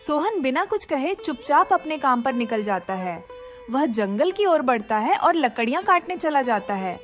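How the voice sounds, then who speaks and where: High, lively female storyteller. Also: normal speed, faint music behind. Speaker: narrator. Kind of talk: scripted Hindi storybook narration.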